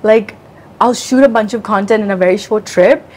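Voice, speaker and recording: A woman's voice: medium pitch, normal speed, darkish tone. A young adult woman from New Zealand, chatting candidly in an interview with many fillers and quoted asides. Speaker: interview guest, content creator.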